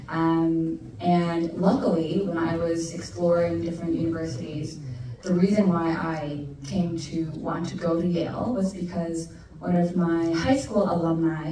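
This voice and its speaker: A young woman from Alaska telling her story as a panelist, thoughtful with many ums and pauses. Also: fairly low pitch. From United States (Alaska). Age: young adult. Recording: echoey room from far off with noise.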